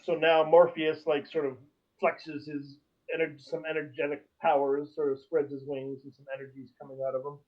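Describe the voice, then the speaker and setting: A man's voice: on the high side, little pitch movement, normal speed. A man co-hosting a podcast in casual conversation, recorded with slightly dull sound. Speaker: podcast co-host. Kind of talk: casual chat.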